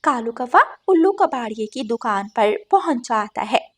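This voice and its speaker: A woman's voice: on the high side, animated, a little slow, a bit bright. A woman narrating a scripted children's cartoon story in Hindi.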